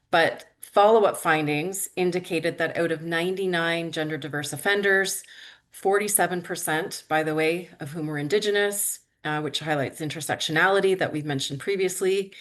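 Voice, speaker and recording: Low-voiced woman presenting. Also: a bit bright. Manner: measured, reads from notes with fillers. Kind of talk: conference presentation.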